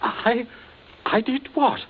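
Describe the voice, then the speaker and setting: An actor playing a nervous, cornered army captain in a radio drama, stammering with fear. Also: male voice, very high-pitched, takes it slowly, a little hiss or hum. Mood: anxious. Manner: stammering, frightened. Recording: dull old radio drama recording.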